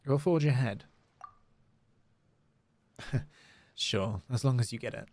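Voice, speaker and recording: A man livestreaming a game, excitable, casually commenting and reading dialogue aloud, with exclamations. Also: mid-range voice, no room sound. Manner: excitable, reacts with gasps and drawn-out exclamations. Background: game music.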